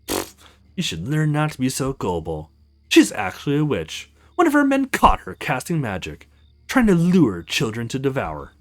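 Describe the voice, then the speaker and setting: A man's voice: medium pitch, huge pitch swings, moderate pace, fairly bright tone, faint music behind. A man voicing a grim, scornful male witch hunter in a visual novel game.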